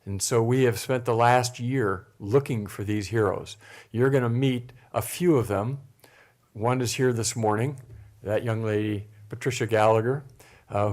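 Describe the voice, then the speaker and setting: Deliberate older man, low voice. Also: a little mellow. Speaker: event organizer. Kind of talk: press conference speech.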